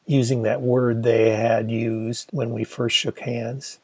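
Husky older man reading his memoir. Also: medium pitch, flat delivery, takes it slowly, dry recording. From Michigan, USA. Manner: wry, conversational storytelling. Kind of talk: audiobook narration.

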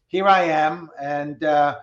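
A middle-aged man from Turkey, a public policy professor, giving reflective interview answers with many filler pauses. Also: on the high side, fairly even intonation. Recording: roomy, dull lo-fi sound.

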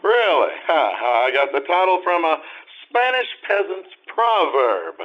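Radio play writer acted by a man: thirty, wry, bashful, slow swooping delivery, slightly muffled recording. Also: a little high, sing-song. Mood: sheepish. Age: 30s. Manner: hesitant, halting, bashful drawn-out delivery. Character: awkward, wry thirty-year-old writer and reporter. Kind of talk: old-time radio stage acting.

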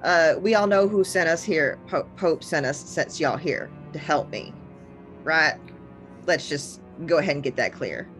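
A woman's voice: deep voice, unhurried, fairly bright tone, soft background music. A woman voicing a brash female military lieutenant with a Texas drawl, blunt and folksy. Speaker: guest player.

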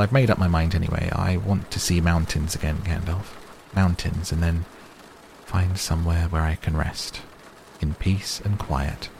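A man's voice: low voice, vocal fry. A man voicing an old hobbit in a story reading, fussy, stubborn and testy, with a defensive manner, on a noisy recording. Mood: weary, restless. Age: older. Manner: stammering, impatient, defensive.

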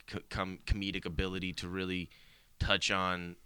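A young man chats on a podcast in a bright, smooth voice, close to a dry mic. He rambles loosely, swears freely and often laughs mid-sentence. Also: sits low, completely flat delivery, normal speed, dry recording. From Bosnia and Herzegovina. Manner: rambling, profane, laughs often, many restarts. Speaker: comedian guest. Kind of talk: casual podcast chat.

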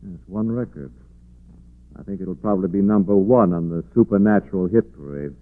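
A suave gentleman sleuth acted by a man speaks in a lowish voice with dry, commanding wit. It is 1940s radio drama on a tinny, narrow-band recording. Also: brisk, close to an everyday voice. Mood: assured.

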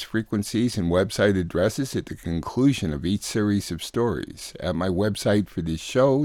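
A man's voice: on the low side, darkish tone, no echo, clean studio sound. A man reading a scripted introduction as the host of a radio news show. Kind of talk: scripted narration, program introduction.

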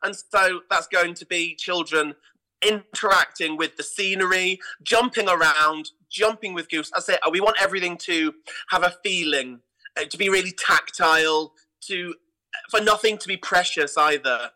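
A man with a very bright, forward voice pitched on the high side. He chats casually as an interview guest, with an enthusiastic and effusive manner, like a theatre director keen on his work. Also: moderate pace, low-quality recording.

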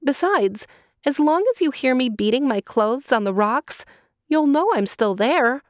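A woman's voice: sits high, expressive, measured pace, no echo, studio quality, pitched above the performer's own voice. A woman voicing a young captive girl in a storybook, speaking in an earnest, pleading way.

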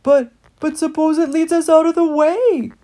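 As a woman reads aloud, a jittery young rat stammers in a raised, husky voice, tight with emotion. He sounds anxious and eager to please. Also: high-pitched, lively intonation, moderate pace, dark tone, pitched above the performer's own voice. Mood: worried.

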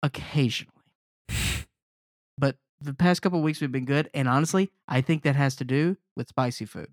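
A man's voice: mid-range voice, a little fast, no room sound, studio quality. A man in his mid-thirties co-hosting a podcast, ribbing his friends in casual sarcastic chat. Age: 30s. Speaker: podcast co-host.

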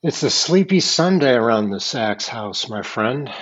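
Man, podcast co-host, wry, rambling, hesitant, mid pitch, dull lo-fi sound. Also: lively intonation, measured pace, husky, no room sound. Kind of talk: casual banter.

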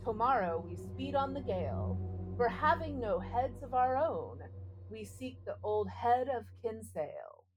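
A woman's voice: fairly high pitch, expressive, unhurried, low-quality recording. A woman co-hosting a podcast with a playful, theatrical way of talking, whether chatting or reciting. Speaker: podcast co-host. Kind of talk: casual chat, dramatic poem reading.

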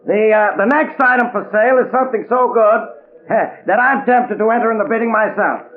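Guy doing a jovial auctioneer, really high voice, coaxing patter, tinny 1940s radio sound. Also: a little fast, slight background noise, lightly acted. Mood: showy, coaxing. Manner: showy, coaxing sales patter. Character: jovial, fast-patter male charity auctioneer working a crowd. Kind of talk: 1940s radio drama acting.

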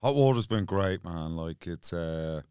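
A man appearing as a comedian guest on a podcast, talking in a laid-back, drawn-out way. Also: low voice, animated, mellow, smooth, no room sound, studio quality. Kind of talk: casual podcast chat.

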